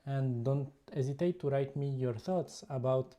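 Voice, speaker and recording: A man's voice: mid-range voice, unhurried, mellow. A man from Lebanon with a Lebanese accent, whose first language is Lebanese Arabic, hosting a casual photography live stream and chatting while he shows his camera gear. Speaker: YouTube photography channel host.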